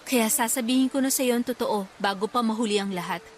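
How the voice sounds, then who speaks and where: A woman's voice: on the high side, a little fast, a little hiss or hum, close to an everyday voice. An actress playing a frightened, devoted young woman in a Filipino radio drama, speaking with earnest emotion. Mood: earnest, tender. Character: frightened, devoted young woman confessing love amid a storm.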